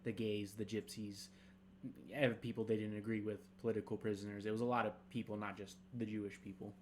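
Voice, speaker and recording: A man's voice: on the low side, level tone, a little hiss or hum. A young adult man co-hosting a podcast, chatting loosely with fillers and restarts, sometimes reading a script aloud. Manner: rambling, halting, many fillers. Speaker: podcast co-host.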